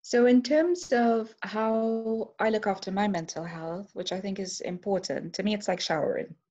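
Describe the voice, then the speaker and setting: A woman's voice: medium pitch, normal speed, mellow, muffled recording. A woman in her forties from Trinidad and Tobago, with a Trinidadian accent, talking earnestly and reflectively about her life while seated at home before a laptop camera. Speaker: interviewee sharing her story. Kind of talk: personal testimony to camera. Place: home room, seated on a sofa.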